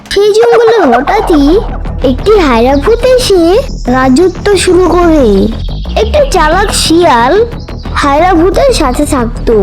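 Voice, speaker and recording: A narrator reading a scripted children's animal story. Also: very high voice.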